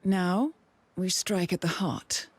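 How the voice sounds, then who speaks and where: A woman's voice: lowish voice, slow, husky, no echo, heavily acted. A woman voicing a cool, calculating female spymaster handler in a video game cutscene, composed and persuasive, at times near a whisper. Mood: conspiring. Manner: composed and persuasive, quietly resolute.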